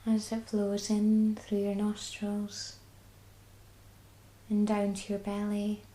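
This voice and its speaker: Scottish lady leading a body scan, mellow voice, really unhurried. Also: mid-range voice, monotone, echoey room. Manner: slow, soft and soothing. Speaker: mindfulness guide. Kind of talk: guided body scan meditation.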